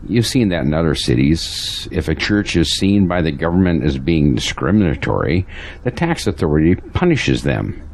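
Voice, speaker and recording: A resigned, pragmatic churchgoing man, with a deep voice that moves up and down a lot. He ponders things matter-of-factly, in audio drama style. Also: sing-song, moderate pace, a little hiss or hum, exaggerated voice. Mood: grave, resigned.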